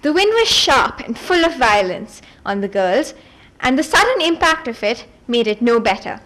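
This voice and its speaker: Casting: woman with a high voice for an expressive live reading of a fantasy novel, small stumbles left in. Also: moderate pace. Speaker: reader at a book event.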